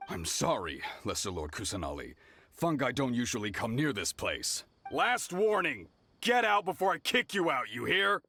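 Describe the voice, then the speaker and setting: Jumpy, dutiful monster-chaser for an anime game; sharp forceful tone, highly animated pitch swings. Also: male voice, moderate pace, exaggerated voice. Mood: anxious, deferential. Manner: forceful, urgent. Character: alarmed, dutiful man chasing off a monster.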